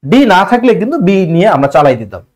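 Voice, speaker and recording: A Bangladeshi man speaks as an online teacher in a high-pitched voice. His intonation is very lively, rising and dipping often. He explains in colloquial language, putting questions to the learner and repeating key phrases. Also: racing. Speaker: online English grammar teacher. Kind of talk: exam-prep lesson, explaining multiple-choice questions.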